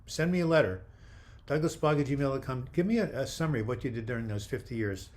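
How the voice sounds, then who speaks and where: An older man hosting a live video chat, reading viewer comments aloud and replying in a friendly, halting way with frequent restarts. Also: mid-range voice, expressive, a little mellow. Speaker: livestream host answering viewer comments.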